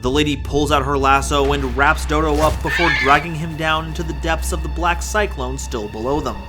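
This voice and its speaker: Man, dramatic scripted narration, theatrical storyteller, slightly high voice, strong music bed. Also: fairly quick. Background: prominent music.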